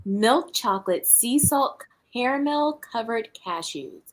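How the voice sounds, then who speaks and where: A woman with a fairly high voice chats casually on a livestream. Her intonation moves around a great deal as she banters informally. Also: expressive, takes it slowly, slight background noise, rough recording. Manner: chatty, informal. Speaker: reading livestream co-host.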